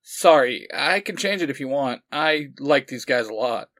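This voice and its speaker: A man voicing a friendly, awkward teenage boy who gets excitable, in a fan storybook reading. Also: mid-range voice, moderate pace, close to an everyday voice. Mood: eager.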